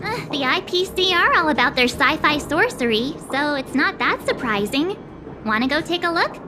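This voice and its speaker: A woman playing a curious, cheerful, excitable teenage girl in a video game, bubbly and playful. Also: sits high, moderate pace, background noise.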